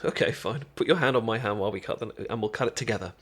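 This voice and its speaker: A man's voice: fairly low pitch, rapid-fire, fairly bright tone. A British man acting a shy, affectionate best friend in an ASMR romantic roleplay, hesitant, stammering and tender. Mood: gentle, instructing. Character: shy, affectionate boyfriend-to-be best friend teaching sushi making.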